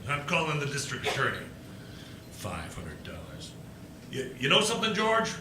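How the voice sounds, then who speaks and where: A man's voice: mid-range voice, wildly swooping pitch, moderate pace. A man playing a bitter, miserly old tycoon with a sneering, commanding manner in a live radio play. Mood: scornful. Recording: roomy live stage, faint ambient noise.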